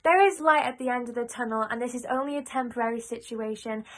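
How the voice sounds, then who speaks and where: A woman's voice: fairly high pitch, quick pace. A woman giving an encouraging spoken message to supporters as a stage performer. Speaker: pantomime performer addressing supporters.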